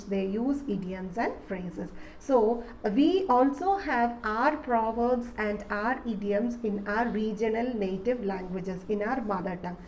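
A woman's voice: a little high, a little mellow, slight background noise, poor audio. A woman, teaching a college lesson and explaining an essay step by step with many fillers. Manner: explanatory, repeats points with fillers. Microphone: voice-over on slides.

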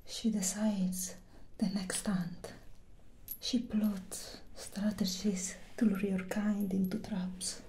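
A woman's voice: mid-range voice, little pitch movement, takes it slowly, darkish tone, low-quality recording. Woman playing a whispery, defiant captive vampire hunter. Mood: reluctant. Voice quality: breathy, whispery. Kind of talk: soft-spoken ASMR roleplay.

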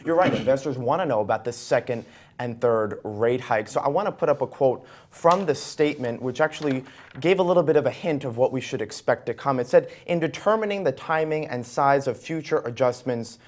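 A young American man hosts a financial news program. He speaks in a broadcast interview style at a middling pitch, on a studio mic. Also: lively intonation, fairly quick. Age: young adult. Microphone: lapel mic.

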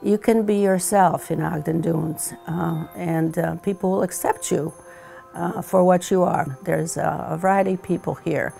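A woman, a retired teacher and weaver, speaking thoughtfully with many pauses and fillers in a seated interview at home. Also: on the low side, fairly even intonation, vocal fry, warm tone, faint music behind. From Croatia. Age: 70s. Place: home living room, indoors.

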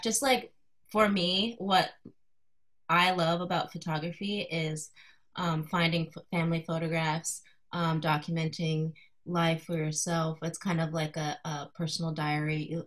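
A woman in her thirties from Colorado, giving an informal artist talk to a class over a video call, soft-spoken and hesitant, with many fillers. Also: low-pitched. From Colorado, USA. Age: 30s. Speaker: guest artist speaking to a class. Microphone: video call computer mic.

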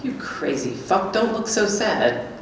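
A woman's voice: medium pitch, sing-song, measured pace. A woman performing a wry, teasing, blunt character in a staged literary reading. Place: small gallery room before an audience. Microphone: stand microphone, heard from a distant camera. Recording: echoey room, distant mic, faint noise.

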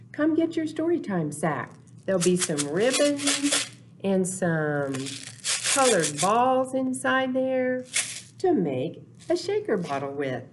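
An older woman in her sixties hosting a storytime for young children, speaking in a deliberate, expressive, simple sing-song teaching way. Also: mid-range voice. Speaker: children's storytime host at a library. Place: small indoor room, in front of backdrop. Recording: noticeable background noise, clicks of props.

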